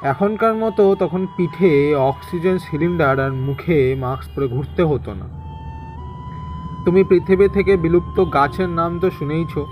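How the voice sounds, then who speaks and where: A gentle old grandfather acted out by a man speaks patiently and tenderly, as if to a small child in an audio story. His voice sits a little high for a man. The sound is slightly dull, with soft music underneath. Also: close to an everyday voice. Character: gentle old grandfather explaining a lost past.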